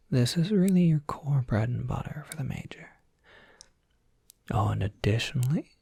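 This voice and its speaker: A kindly monster academy professor played by an ASMR performer speaks in a very breathy whisper with a gravelly crackle. The delivery is slow and gently wry, helpful like an academic advisor. It is recorded close and dry. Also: medium pitch, no echo, full character voice. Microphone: close microphone, dry.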